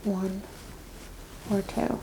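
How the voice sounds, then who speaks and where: Female, soft ASMR examiner, gravelly fry, whispered instructions, audible background noise. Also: medium pitch, fairly even intonation, very slow. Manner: soft-spoken, often dropping to whispers, instructing step by step. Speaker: ASMR performer playing a medical examiner. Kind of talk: ASMR clinical exam roleplay. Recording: clear background noise and ambience.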